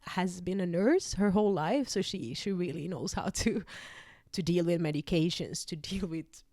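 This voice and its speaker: Young woman, Swedish first language, raised in Finland; low-ish voice, dry close recording, rambling personal story. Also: normal speed, a little mellow, no room sound. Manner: rambling, lots of fillers and restarts. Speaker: vlogger.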